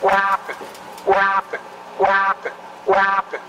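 A man's voice: high-pitched, monotone, moderate pace, sharp tone, a little hiss or hum. A man playing a malfunctioning president in an audio comedy, rambling nonsense and getting stuck repeating words. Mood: frantic babbling. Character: malfunctioning babbling president spouting stuttering nonsense.

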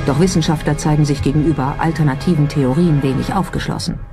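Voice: mid-range voice, quick pace. A narrator reading a scripted documentary. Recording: music behind the voice. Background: background music.

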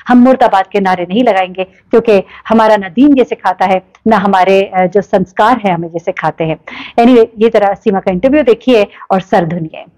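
A woman, commenting to camera in a vlog with animated, ironic storytelling. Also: mid-range voice. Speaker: vlogger. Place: living room indoors.

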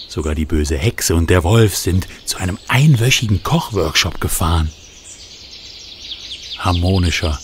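A man's voice: low voice, noisy background. A man playing an old talking fairy-tale book. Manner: slow, expressive storytelling. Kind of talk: children's radio play narration.

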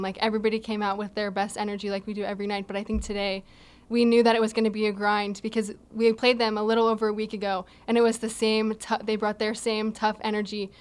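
A young college athlete speaks into a table microphone at an indoor press backdrop. Her pitch stays level and even as she answers in an earnest, steady way, with the odd light laugh. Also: clean tone. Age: young adult. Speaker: college volleyball player. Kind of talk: postgame interview answers. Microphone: table mic in front of her.

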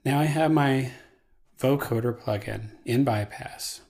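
A man giving a casual software tutorial as the trainer, talking in a folksy, playful way. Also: medium pitch, measured pace, creaky, slight background noise.

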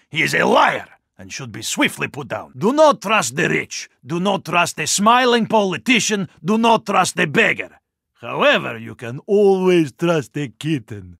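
An actor playing a muscular, earnest Russian super soldier in a video game, with a Russian accent, a gravelly, husky voice and formal, deliberate delivery. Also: male voice, on the high side, bright, forceful tone, no echo, studio quality, heavily acted.

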